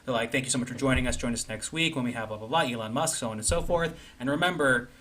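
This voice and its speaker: Bright-voiced man bantering as co-host. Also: mid-range voice, fast, a little hiss or hum. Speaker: co-host of a livestream comedy show. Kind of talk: casual joking banter.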